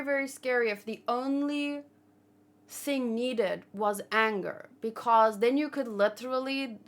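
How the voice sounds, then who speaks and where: A woman's voice: high voice, a bit bright. A woman hosting a casual livestream discussion, reasoning aloud and giving her opinions in a conversational way. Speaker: livestream host. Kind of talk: casual chat, manga discussion and commentary.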